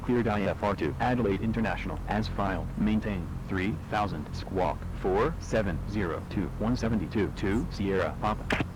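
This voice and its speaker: A man voicing a businesslike air traffic controller in a game, reading out clipped, formulaic radio clearances. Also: on the low side, moderate pace, lightly acted. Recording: very noisy aviation radio transmission.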